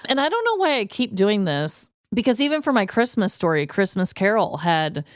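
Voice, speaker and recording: A woman co-hosting a podcast, telling stories in an informal, expressive way. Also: mid-range voice, normal speed, dry recording, clean studio sound. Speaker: podcast co-host. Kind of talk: casual chat and scripted storytelling.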